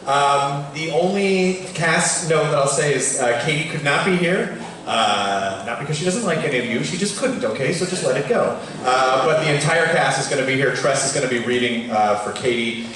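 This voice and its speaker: A man hosting a live event, addressing an audience as an excited showman-like announcer. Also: mid-range voice, normal speed. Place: large echoey venue with live audience. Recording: echoey hall, distant mic, noisy crowd. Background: crowd noise and room ambience.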